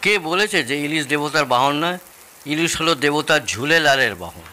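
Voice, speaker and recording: A man's voice: fairly high pitch, animated, moderate pace, pushed and bright, slight background noise. An actor playing a jovial, proud older Bengali father-in-law in an audio drama, explaining things expansively and with relish. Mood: pleased, explaining.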